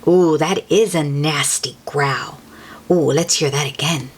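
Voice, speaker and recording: A woman's voice: low-pitched, unhurried, breathy edge. A woman reading a picture book aloud expressively to young children, adding exclamations and drawing words out for effect. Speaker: children's read-aloud host.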